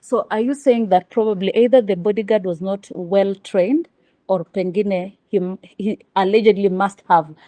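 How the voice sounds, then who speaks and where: A Kenyan woman with a soft, mellow tone conducts an interview in a conversational style. The recording is dry and close, without room echo. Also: medium pitch, fairly even intonation, moderate pace. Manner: mixes Swahili words into English.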